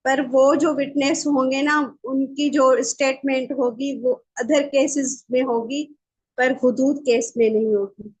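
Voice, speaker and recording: A woman's voice: sits high, steady tone, a little slow, husky, low-quality recording. A woman lecturing informally, reading out passages and explaining them, mixing in English terms and often checking that listeners follow. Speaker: law lecturer.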